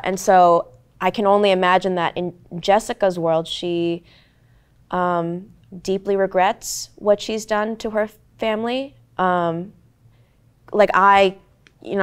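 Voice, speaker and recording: A woman's voice: lowish voice, smooth. A young woman actor in her twenties, whose first language was Korean, talking earnestly and reflectively on a panel with many "like"s and "um"s. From United States. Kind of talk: candid panel talk.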